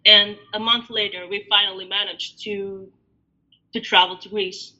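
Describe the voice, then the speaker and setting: A woman in her thirties from Albania, a podcast guest telling her story in a reflective, halting way with many fillers. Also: medium pitch, level tone, pushed and bright. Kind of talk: podcast interview. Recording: dull lo-fi audio.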